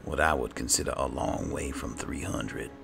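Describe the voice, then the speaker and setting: Extremely low male voice, heavy vocal fry, halting game commentary, music behind. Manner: halting, musing to himself. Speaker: gaming host, player. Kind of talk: roleplay gameplay commentary.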